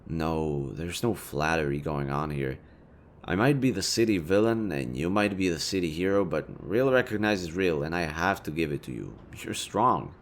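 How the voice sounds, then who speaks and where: A man's voice: deep voice, animated, moderate pace, a little hiss or hum. A man playing a smug, sardonic city supervillain with a caring streak, speaking to the listener in a casual, sweary audio roleplay. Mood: subdued, respectful. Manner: taunting, casual, sweary, theatrical.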